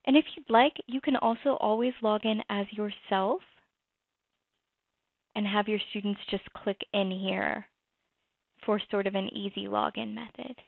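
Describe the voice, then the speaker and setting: A woman presenting a software walkthrough, explaining each step in a conversational way. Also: mid-range voice, steady tone, unhurried, creaky, pauses often, no echo. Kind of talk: screen-recorded software walkthrough. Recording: tinny phone-like dry audio.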